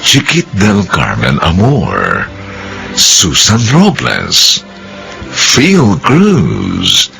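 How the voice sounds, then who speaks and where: A man's voice: sits low, gravelly, a bit bright. A man with a Filipino accent giving solemn, theatrical narration and announcements for a radio drama. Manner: solemn, theatrical, deliberate phrasing.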